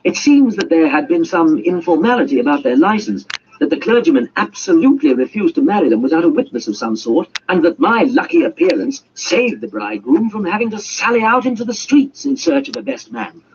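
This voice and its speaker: Animated, high-pitched man narrating a story. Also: fairly quick, creaky. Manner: theatrical, voices dialogue. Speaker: audiobook narrator. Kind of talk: dramatic storytelling, scripted narration. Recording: muffled, noisy amateur audiobook recording.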